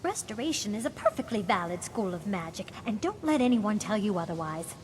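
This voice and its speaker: Fantasy game mage, female, acted, defensive and earnest, mid-pitched with lively swings, echoing hall. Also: fairly quick, close to an everyday voice. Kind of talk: video game dialogue. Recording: echoey hall reverb, faint rumble.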